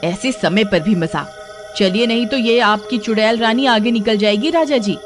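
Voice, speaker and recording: A woman's voice: fairly high pitch, normal speed, faint music behind, lightly acted. A woman voicing a bold, feisty village wife who scolds and teases in an assertive manner. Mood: teasing, irritated. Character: bold, feisty village wife protecting her timid husband.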